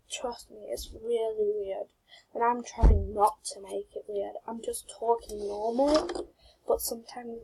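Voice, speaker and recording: A woman giving quiet, awkward gameplay commentary on her channel, mumbling and giggling as she plays. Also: a little high, slightly rough, low-quality recording. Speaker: gaming channel host.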